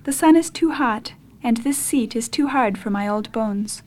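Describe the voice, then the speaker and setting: A woman's voice: fairly high pitch, moderate pace, a little mellow, lightly acted. A woman reading the part of a demanding storybook boy who grows into a tired old man. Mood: complaining. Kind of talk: storybook read-aloud.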